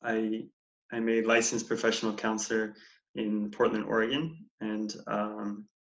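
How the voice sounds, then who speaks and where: A man's voice: fairly low pitch, a little slow. Man in an echoey room. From United States. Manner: relaxed, meandering storytelling with many fillers. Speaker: guest, licensed counselor. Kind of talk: casual interview. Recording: dull, echoey room sound.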